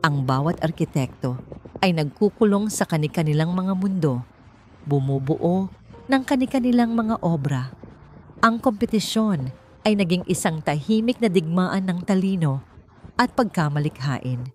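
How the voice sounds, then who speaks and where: A woman reading a scripted romance story in a measured, dramatic narrator's delivery. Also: fairly low pitch, expressive, darkish tone, a little hiss or hum. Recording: music under the voice. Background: background music.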